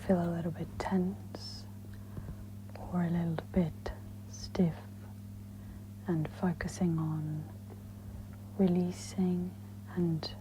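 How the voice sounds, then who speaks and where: A woman speaks in a whispery, breathy voice, gently guiding a meditation in a soft-spoken ASMR style. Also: on the low side. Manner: slow, gentle, instructional. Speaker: host of guided meditation ASMR. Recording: dull lo-fi with audible background noise.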